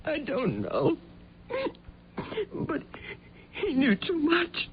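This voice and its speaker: An actress playing a distraught, insistent wife who pleads earnestly, in the style of old-time radio drama. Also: female voice, sits high, huge pitch swings, very slow, exaggerated voice. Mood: tearful, desperate. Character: distraught, pleading wife of a missing truck driver. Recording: noisy, narrow-band old radio recording.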